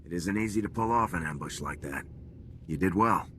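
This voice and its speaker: A man's voice: low-pitched, fairly quick, a bit bright, a little hiss or hum. A man playing a composed special forces major in a video game, clipped and formal. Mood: approving. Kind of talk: video game cutscene acting.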